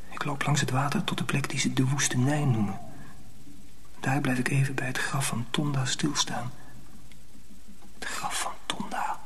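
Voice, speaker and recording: An indignant young apprentice boy in a radio drama. His voice sits on the high side and is earnest and intense, often dropping into breathy hush. Some noise runs through the recording. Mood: hushed unease. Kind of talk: radio drama dialogue and hushed first-person narration. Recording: noisy old radio play recording.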